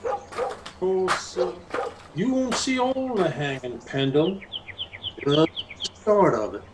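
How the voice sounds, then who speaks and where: A man's voice: high-pitched, animated, heavy background noise. An American man playing a menacing, mocking cowboy bully in an old-time radio Western. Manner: mocking, slow-drawling taunts. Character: menacing cowboy bully, sneering ex-Confederate guerrilla.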